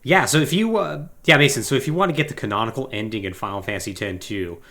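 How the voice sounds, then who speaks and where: A man co-hosting a podcast in casual discussion of video games, talking in an animated way. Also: medium pitch, fast talker. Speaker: podcast co-host.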